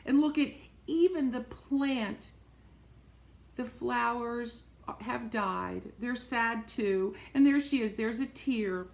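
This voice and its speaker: A woman reading a picture book aloud to young children, expressively, pausing to point things out in simple words and repeating phrases. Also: on the high side, gravelly. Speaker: storybook reader for young children.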